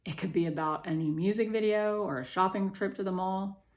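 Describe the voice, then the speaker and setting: A woman narrating a children's novel aloud in the first person, with expressive storytelling delivery. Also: medium pitch, fairly quick. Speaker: children's book read-aloud reader.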